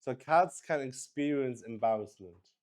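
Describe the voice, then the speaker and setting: Male game player, mid-pitched and slow-paced, chatting casually, exclamatory and sweary. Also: a little mellow. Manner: sweary, exclamatory, thinking aloud. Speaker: solo roleplay player and host. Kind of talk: casual improvised game chat.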